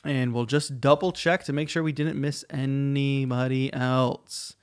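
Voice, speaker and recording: A man's voice: mid-range voice, level tone, measured pace, clear, resonant tone. A man hosting a livestream, giving casual, rambling feedback on listeners' beats. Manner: loose and chatty, many likes and restarts. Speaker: stream host. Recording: music loud behind voice. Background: music playing.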